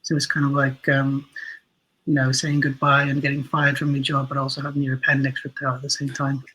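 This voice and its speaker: A man's voice: medium pitch, monotone, a little fast, sharp tone, husky, low-quality recording. A man chatting casually as an interview guest, explaining things in a thoughtful, self-deprecating way. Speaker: guest, audio drama writer and producer.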